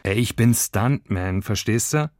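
A man's voice: fairly low pitch, lively intonation, unhurried, darkish tone, no echo, studio quality. A man voicing a cocky young boy who fancies himself a stuntman in a children's story, cool and self-important.

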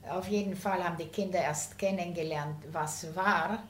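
An older woman speaking in a calm, measured way during an interview about her family. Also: fairly low pitch, a little hiss or hum. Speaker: interviewee and author. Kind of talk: conversational interview. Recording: roomy room with faint ambience.

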